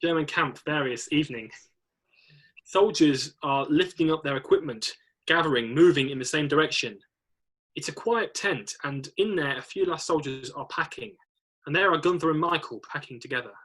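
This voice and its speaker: Bright, husky male screenplay narrator. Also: on the high side, moderate pace, low-quality recording. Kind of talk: scripted reading of screenplay stage directions.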